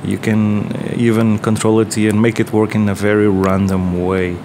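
Man with portuguese accent, low-ish voice, relaxed rambling demo, faint hum behind. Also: moderate pace. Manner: relaxed, rambling, many fillers and restarts. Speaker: electronics maker. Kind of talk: casual workbench demo. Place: workbench, indoors.

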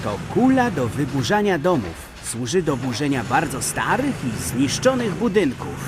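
A man voicing a gentle, patient father dog in a children's cartoon dub, playful, encouraging and expressive. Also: fairly high pitch, moderate pace, noisy background. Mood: informative, warm.

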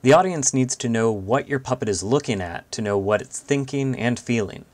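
A man's voice: medium pitch, animated, normal speed. A man giving scripted instructional narration as a puppetry teacher.

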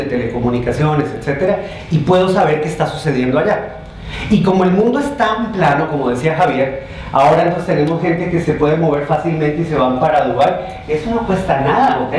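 A man's voice: a little high, a little mellow. A middle-aged man with a Colombian accent giving an informal, conversational conference presentation, often checking in with the audience. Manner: conversational, addresses the audience with questions and check-in tags. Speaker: conference speaker. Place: large indoor conference hall. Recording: echoey conference hall, distant microphone, noisy.

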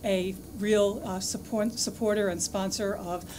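A woman's voice: medium pitch, fairly even intonation, a little slow. Woman giving gracious closing thanks. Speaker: event host. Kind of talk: formal closing remarks. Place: large lecture hall with audience. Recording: noisy hall recording.

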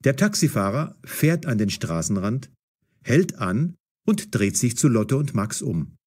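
A man narrating an audio story for language learners with measured, clearly enunciated reading. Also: mid-range voice, big pitch swings, a little mellow.

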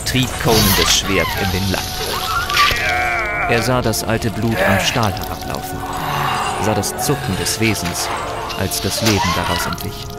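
A man narrating a fantasy audio drama in a grave, measured, scripted storytelling style. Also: fairly low pitch. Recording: music behind the voice.

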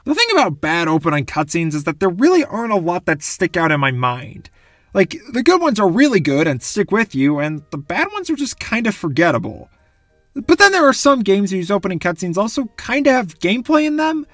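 Animated, high-voiced young man. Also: brisk, dry recording, studio quality. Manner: gushing, animated. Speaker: video game reviewer, host. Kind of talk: enthusiastic scripted commentary.

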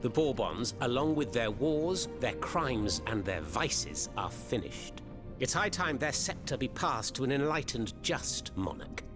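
An actor playing a mocking, zealous revolutionary in a video game, speaking in a flowery, sardonic manner. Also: male voice, mid-range voice, sing-song, a little slow. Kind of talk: video game cutscene acting. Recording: game music behind the voice.

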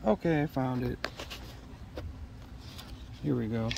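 Male unboxing vlogger, middle-aged, unhurried and hesitant, casual delivery, outdoor background noise. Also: mid-range voice, creaky. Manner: hesitant, musing to himself in short fragments. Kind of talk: casual unscripted unboxing chat. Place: outdoors in a leafy yard. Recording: outdoor ambient noise behind voice.

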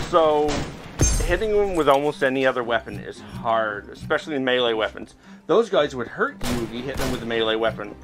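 A middle-aged man hosting a gaming video, explaining things casually with a self-deprecating manner as he plays. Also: mid-range voice. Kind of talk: casual gameplay commentary. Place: indoors, recording while playing VR game. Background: game music.

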